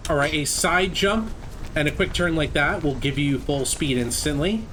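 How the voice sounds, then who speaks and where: A man's voice: a little high, moderate pace, slight background noise. A man giving live speedrun commentary in a casual, self-critical way as he plays. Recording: commentary over loud game music. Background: game music.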